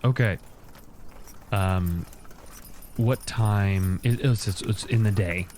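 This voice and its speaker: A man with a deep voice speaks as a player in a tabletop game. His talk is casual and conversational. A little background noise is audible. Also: slow, a little mellow.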